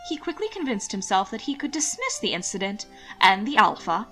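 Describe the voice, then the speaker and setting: A woman's voice: mid-range voice, sing-song, brisk, fairly bright tone, soft background music. A woman reading scripted fiction as an audiobook narrator.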